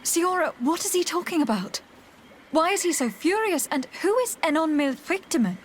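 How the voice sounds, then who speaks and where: An actress playing a composed, inquisitive female adventurer in a video game, with a measured, questioning delivery. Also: very high voice, lively intonation, slight background noise. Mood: concerned. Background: game music under the voice.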